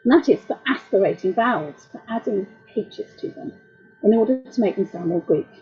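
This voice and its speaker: A middle-aged woman lecturing as a translator, explaining jokes in a wry, measured way. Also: fairly high pitch, wildly swooping pitch, husky, highs cut off, soft background music. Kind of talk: academic talk.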